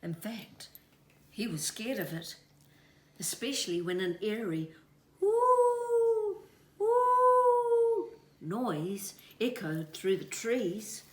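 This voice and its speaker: A woman with a New Zealand accent reading a children's story expressively, dramatic and hushed in places. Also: medium pitch, takes it slowly. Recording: echoey room.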